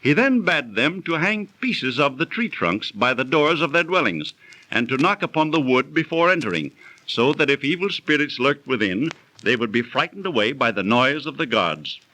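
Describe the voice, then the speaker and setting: An actor playing an assured, sceptical storyteller, articulate and didactic, in 1930s radio drama style. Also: male voice, medium pitch, sing-song, normal speed, bright, forceful tone, no room sound, rough recording. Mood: explaining. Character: know-it-all storyteller, sceptical friend of a businessman. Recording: dry 1930s radio studio recording.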